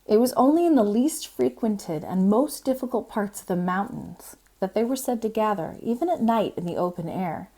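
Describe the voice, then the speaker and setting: Woman reading a bedtime storybook. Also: medium pitch. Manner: expressive, measured storytelling with brief informal asides. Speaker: librarian reading aloud, host.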